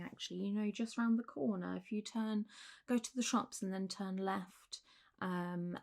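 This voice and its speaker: The undead physician of a late-night ASMR clinic roleplay, acted by a woman, murmuring mellow, gentle reassurance across the examination couch. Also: medium pitch. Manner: gentle, reassuring, hesitant, slipping into whispers. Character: calm, reassuring zombie doctor running a specialised clinic. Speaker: doctor in a roleplay. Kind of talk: soft-spoken ASMR roleplay.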